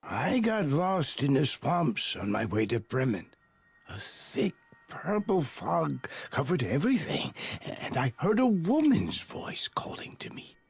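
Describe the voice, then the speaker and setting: An actor playing a frail, weary old traveling puppeteer in a game cutscene, halting and pleading, with a husky, creaky voice. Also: male voice, a little high, sing-song, dry recording, heavily acted. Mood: haunted, relieved. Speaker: street performer character. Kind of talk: video game cutscene acting.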